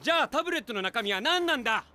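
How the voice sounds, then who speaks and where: Anime hot-headed young guy: high, lively, forceful, protective, faint noise behind. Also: racing, full character voice. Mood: suspicious, questioning. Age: young adult. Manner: dramatic, brash, suspicious. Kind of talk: anime film voice acting.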